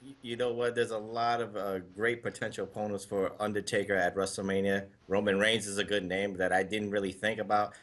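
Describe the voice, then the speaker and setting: A man's voice: fairly low pitch, little pitch movement, a little fast, few pauses, a bit bright. A man on a casual wrestling talk panel, speaking with enthusiasm about dream matches.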